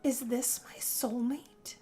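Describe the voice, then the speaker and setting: A woman's voice: a little high, steady tone, takes it slowly, mellow. A woman narrating a role-play story in first person as a lovestruck, weary young heroine, in a theatrical, sighing manner. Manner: dramatic, breathy sighing delivery. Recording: music under the voice.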